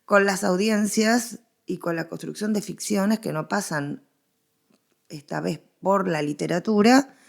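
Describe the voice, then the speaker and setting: A woman's voice: medium pitch, a little slow, vocal fry. A woman with an Argentine accent explaining her subject in a conversational interview, stressing points with repetition and examples. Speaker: interviewed researcher of radio history.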